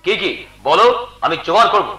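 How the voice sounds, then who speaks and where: An anxious, greedy younger son talks in theatrical folk opera style. The voice is high, hurried and bright, echoing on stage. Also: male voice. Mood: anxious, questioning. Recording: echoey stage with faint music.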